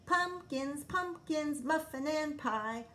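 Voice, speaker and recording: A woman voicing an excitable, clumsy young boy frog in a children's storybook, exclaiming and chanting in sing-song. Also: sits high, slow, pitched above the performer's own voice. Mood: gleeful singing. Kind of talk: children's storybook read-aloud.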